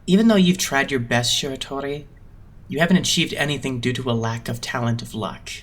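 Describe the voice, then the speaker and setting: A man's voice: mid-range voice, fairly quick, close to an everyday voice. Casting: male actor as haughty young agency boss, anime fandub; cool, formal, talks down to people. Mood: cold, blunt. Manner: lecturing, condescending, formal. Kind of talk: anime game fandub acting.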